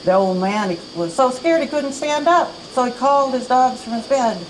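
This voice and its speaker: A woman at a library event reading a children's story aloud with dramatic, expressive delivery. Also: high voice, moderate pace, slight background noise, rough recording. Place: campus event room.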